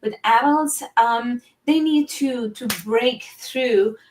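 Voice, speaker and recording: A woman from Romania with a slightly high voice, talking eagerly and earnestly as a guest. She sounds set back from the microphone, like a video call heard from a distance. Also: slow, a little hiss or hum. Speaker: guest, teacher and business owner. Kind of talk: live-stream interview.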